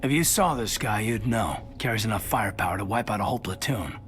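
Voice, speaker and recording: A steady soldier in a video game. He is cautious and understated in his delivery, with faint music in the background. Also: fairly low pitch, fast. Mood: dry.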